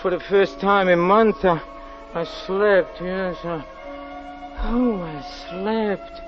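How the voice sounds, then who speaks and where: Haunted, unhinged male killer, higher-pitched, halting delivery, old radio horror, muffled recording with loud music. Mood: feverish, gloating. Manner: halting, stammering, with nervous chuckles and repeated words. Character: guilt-haunted man, a wife's murderer, unhinged and gloating. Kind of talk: 1940s radio horror acting, first-person narration.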